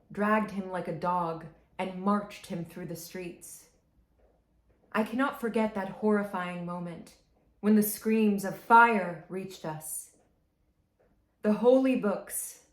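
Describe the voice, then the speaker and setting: Mournful woman reading in an echoey room. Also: mid-range voice. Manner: grave, mournful, drops to a hush at line ends. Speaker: family member reading a poem. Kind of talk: slow, emotional poetry reading. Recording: roomy echo.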